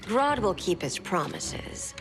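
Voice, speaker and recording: A woman's voice: medium pitch, sing-song, takes it slowly. A woman playing a cool, vengeful, seductive eco-villain in a video game, haughty and cutting. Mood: cool, resentful. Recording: music behind the voice.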